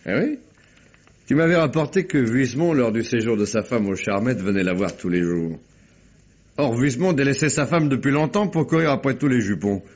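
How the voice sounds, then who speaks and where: A man playing a seasoned, shrewd police commissioner in a 1950s French radio drama, with measured, colloquial speech. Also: medium pitch, lively intonation, close to an everyday voice. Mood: satisfied, explaining. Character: seasoned, methodical male police commissioner, polite but shrewd. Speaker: actor in a radio mystery. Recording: dull vintage radio recording.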